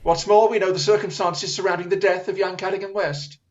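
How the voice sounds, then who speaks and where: Victorian English detective played by a man, high voice, incisive, formal, relentless; radio drama with slightly dull sound. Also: little pitch movement, brisk, close to an everyday voice. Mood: accusing. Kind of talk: radio play acting.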